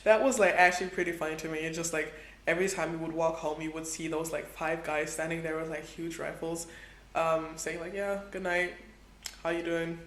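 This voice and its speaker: Young male travel vlogger, high and fairly flat delivery, echoey room, faint background hum. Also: fairly quick. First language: Portuguese is his second language. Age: 20s. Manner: chatty, heavy use of "like". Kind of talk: casual travel vlog.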